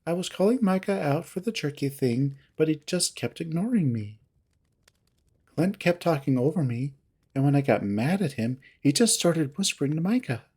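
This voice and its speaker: A man voicing a young female cat character in a story, forgetful, sheepish and evasive, with a halting, babyish way of talking. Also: on the high side, lively intonation, darkish tone, husky. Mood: vague, defensive. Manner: halting, filler-heavy, babyish.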